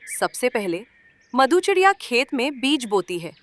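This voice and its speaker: A woman's voice: on the high side, very animated, measured pace, dry recording. A woman narrating a scripted children's story.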